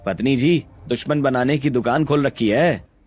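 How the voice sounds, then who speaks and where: A man voicing a devoted young husband in a cartoon story, soothing and gently teasing. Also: medium pitch, normal speed, soft background music, natural voice. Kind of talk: Hindi cartoon story voice acting.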